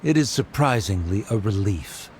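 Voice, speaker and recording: A man's voice: lowish voice, animated, moderate pace, a little mellow, a little hiss or hum, natural voice. Haughty, stern male game antagonist acted by a man. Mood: haughty, grudging. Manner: condescending, formal. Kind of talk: video game voice acting.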